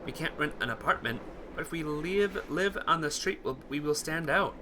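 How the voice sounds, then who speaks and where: A man voicing an earnest, anxious, protective teenage boy from a visual novel, halting and pleading. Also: a little high, lively intonation, background noise, lightly acted. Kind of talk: visual novel character read-aloud.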